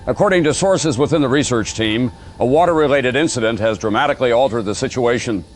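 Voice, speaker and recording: A man playing a sober radio news anchor, reading a bulletin in a formal, measured way in an audio drama. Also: mid-range voice, slight background noise, natural voice. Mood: serious, formal.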